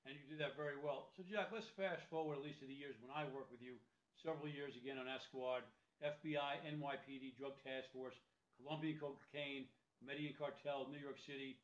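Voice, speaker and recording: A man's voice: medium pitch, fairly even intonation, brisk. A man hosting an interview, reminiscing energetically with his guest. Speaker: host. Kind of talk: interview conversation. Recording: dull lo-fi sound in an echoey room.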